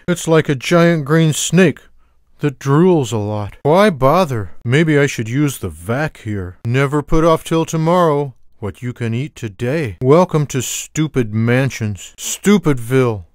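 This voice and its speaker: A lazy, sarcastic cartoon tomcat played by a man drawls out food-obsessed wisecracks for a video game. The voice is mid-pitched and deadpan, recorded very dry and close, with no room sound. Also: measured pace, dry recording, lightly acted. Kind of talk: scripted video game character one-liners. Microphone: close studio microphone, dry sound.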